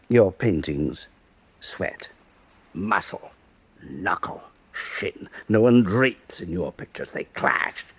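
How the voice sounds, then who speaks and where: A vain Venetian statesman of a historical radio drama. His low, husky voice swoops widely as he lectures with suave pomposity and silky menace, savouring repeated words. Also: no echo, full character voice. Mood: scornful, intense. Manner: suave, pompous, deliberate rhetorical repetition. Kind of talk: full-cast radio drama acting.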